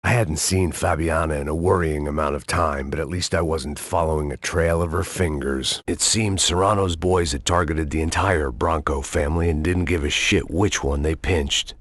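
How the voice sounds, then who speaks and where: An actor playing a weary, cynical middle-aged ex-cop who narrates in a deadpan, bitter, self-mocking way. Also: male voice, rumbling low, normal speed, few pauses, dry recording, studio quality. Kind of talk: hard-boiled video game narration.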